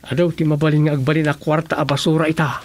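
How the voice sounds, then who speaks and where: A man's voice: a little high, level tone, fast talker, slight background noise, lightly acted. A man acting as a practical, scheming townsman in an Ilocano radio comedy drama, with an Ilocano accent. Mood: animated, scheming.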